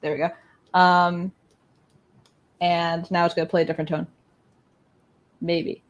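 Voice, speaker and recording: A woman with a slightly low voice walks through a casual live demonstration. She talks haltingly, thinking aloud as she goes. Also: little pitch movement, muffled recording. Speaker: guest demonstrating a music electronics project.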